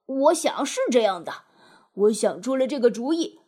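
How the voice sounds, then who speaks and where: A woman voicing a gleeful, boastful male mole from a storybook in an animated, self-satisfied way. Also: on the high side, normal speed, breathy edge. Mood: proud, excited.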